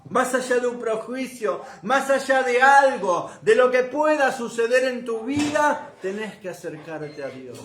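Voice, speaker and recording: A man's voice: very high voice, sing-song, a little slow, few pauses. A man preaching a sermon, speaking emphatically and repeating phrases to drive points home. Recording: roomy, echoing room sound.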